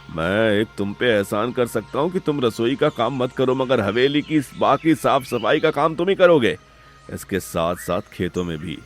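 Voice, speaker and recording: Guy doing a bossy mean big brother in a cartoon story, stern, lively pitch swings. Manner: stern, commanding. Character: domineering, scheming older brother turned cruel master. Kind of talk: Hindi animated moral story acting.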